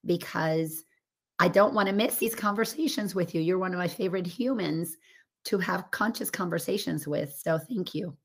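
A woman coach whose voice is raspy after laryngitis, talking earnestly and expansively over a remote call. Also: fairly low pitch, moderate pace. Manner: earnest and expansive, building on images. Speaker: coach and guest. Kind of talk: casual spiritual podcast chat.

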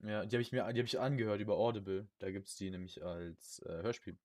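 A man's voice: lowish voice, fairly even intonation, quick pace, a little mellow, a little hiss or hum. Young man chatting in repetitive bursts. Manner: repeats words and phrases in bursts. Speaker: podcast co-host. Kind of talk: casual chat.

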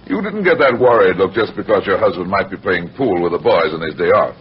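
Hard-boiled but kindly detective, low and clipped, old radio drama sound, dull with some hiss. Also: male voice, expressive, fairly quick. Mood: reassuring. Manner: clipped, matter-of-fact, wry. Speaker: actor playing detective narrator. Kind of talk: old-time radio detective drama acting, first-person narration. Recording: muffled, noisy old radio recording.

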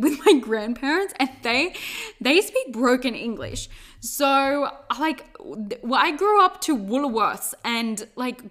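A young woman, hosting a podcast in a casual, fast-flowing motivational monologue full of "like" and asides. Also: a little high, fairly bright tone. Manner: fast, chatty, peppered with "like" and asides. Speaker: podcast host.

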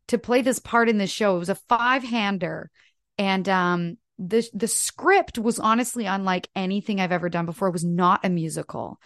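A woman's voice: mid-range voice, no room sound, studio quality. A woman in her thirties from Canada whose first language is French, chatting in a casual interview and telling stories with many "like" fillers. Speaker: actress, podcast guest.

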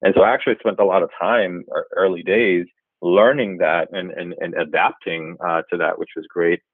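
A man in his forties from Jamaica, a guest speaking in a measured, thoughtful way and often pausing to rephrase. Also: low-pitched, steady tone, no room sound. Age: 40s. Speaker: guest, revenue executive. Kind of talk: reflective interview answers. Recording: tinny phone-like line, dry.

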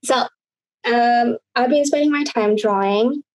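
A woman's voice: a little high, unhurried, slight background noise, low-quality recording. A woman from Indonesia, a guest talking casually over a video call, rambling with many fillers and restarts.

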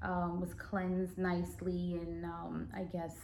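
A woman from Guyana hosting a podcast, talking casually and with emphatic, animated storytelling. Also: fairly low pitch, slow, vocal fry, a little hiss or hum. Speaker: podcast host.

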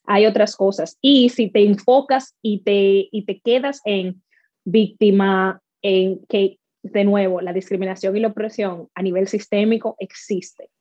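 A woman's voice: mid-range voice, steady tone, a little slow, warm tone, no room sound. A woman from the Dominican Republic with a Dominican accent, a guest in a conversational interview, telling her stories with animation and acting out the lines she quotes. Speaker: guest, speaker and workshop leader. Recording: slightly dull, dry sound.

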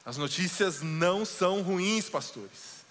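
Guy preaching in a church, high bright voice, long echo, goes slow n low on the big lines. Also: expressive. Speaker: pastor. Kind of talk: sermon. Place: church sanctuary.

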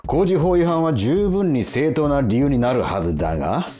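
An actor in a radio drama playing a gruff, cocky time-patrol officer, rough and condescending, easily irritated. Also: male voice, mid-range voice, expressive, normal speed, dark tone, faint music behind, close to an everyday voice. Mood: stern, threatening. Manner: condescending, rough interrogator talk.

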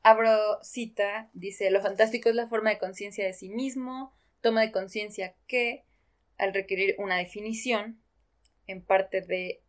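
A woman's voice: medium pitch, steady tone, a little slow. Woman in casual, explanatory literary discussion. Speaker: podcast co-host.

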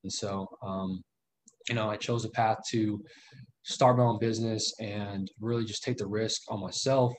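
A man's voice: lowish voice, fairly even intonation, normal speed, a little hiss or hum. Earnest young man guest. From Southern California. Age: 20s. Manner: earnest, loose with fillers. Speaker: guest, real estate investor. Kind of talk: casual interview chat.

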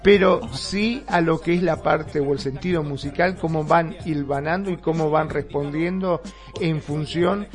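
A man's voice: on the high side, a little slow, soft background music. A man with an Argentine accent co-hosting a casual radio show, explaining things in a searching, stop-and-start way. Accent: Argentine Rioplatense. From Mar del Plata, Argentina.

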